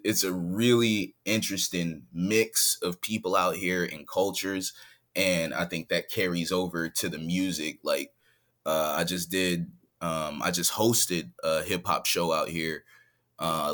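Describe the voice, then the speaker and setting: Deep-voiced laid-back rapper guest. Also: male voice, fairly even intonation, slow, a bit bright. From San Diego, California. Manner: laid-back, chatty, laughs often. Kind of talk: casual podcast interview. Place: home in Hawaii, remote call.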